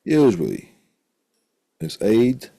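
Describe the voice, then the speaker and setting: Male instructor, deep voice, heavy vocal fry, informal technical lecture, deliberate delivery, repeated phrases, self-posed questions. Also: wildly swooping pitch, warm tone, no echo, studio quality. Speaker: college electronics instructor.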